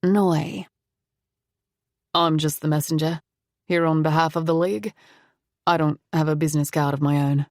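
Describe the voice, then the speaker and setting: A woman voicing a calm, soft-spoken young man with a refined foreign accent, slow and measured. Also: lowish voice, no echo, studio quality.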